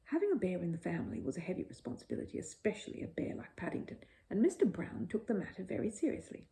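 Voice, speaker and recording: A woman narrating a children's bedtime story in an expressive storytelling style. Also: lowish voice, fast talker, vocal fry, rough recording.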